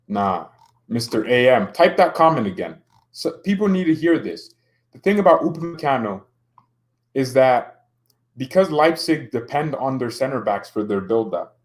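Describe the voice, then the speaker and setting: A young man casually streaming about football, joking around and reading out chat comments. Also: medium pitch. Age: young adult.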